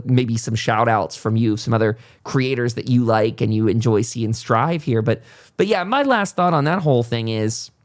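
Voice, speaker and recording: A man hosting a podcast, talking casually in a rambling, reassuring and self-deprecating way. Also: mid-range voice. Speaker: podcast host. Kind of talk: casual conversational interview talk.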